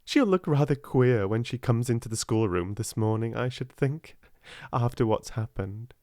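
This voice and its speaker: A man's voice: medium pitch, moderate pace, dark tone, no room sound, clean studio sound, natural voice. A man with a British accent voicing a priggish, spiteful schoolgirl in an audiobook, snappish and self-righteous.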